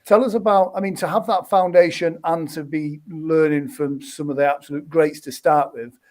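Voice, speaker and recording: A man hosting an interview, his voice sitting a little high. He shapes his questions loosely, restarting and filling gaps as he talks. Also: steady tone, normal speed, darkish tone. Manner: rambling, with many restarts and fillers. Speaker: interview host.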